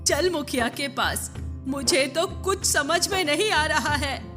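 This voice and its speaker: A woman plays a suspicious old village woman in a cartoon tale. She frets and doubts in a slow, animated voice with music clearly behind. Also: high voice, full character voice. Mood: worried, suspicious. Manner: distrustful, fretful. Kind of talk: cartoon story acting.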